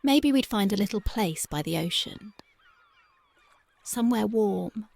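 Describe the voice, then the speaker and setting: A woman voicing a tough but devoted young punk girlfriend in an ASMR roleplay, talking softly and intimately close to the mic, often dropping to a whisper. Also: medium pitch, animated, a little slow, gravelly. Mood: tender, dreamy. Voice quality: soft, intimate, partly whispered. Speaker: voice actress.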